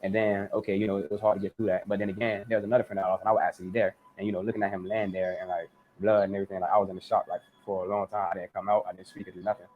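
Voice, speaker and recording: Fast-talking young man, thin phone-like sound. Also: low-pitched, flat delivery. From Florida, United States. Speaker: resident interviewed for a documentary. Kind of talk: personal account in an interview. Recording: thin phone-like noisy interview clip.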